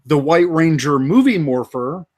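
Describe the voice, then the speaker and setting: A man co-hosting a live fan podcast, casually going through toy and movie news with many pauses and fillers. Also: on the high side, lively intonation. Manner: unhurried, lots of uh fillers. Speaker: podcast co-host.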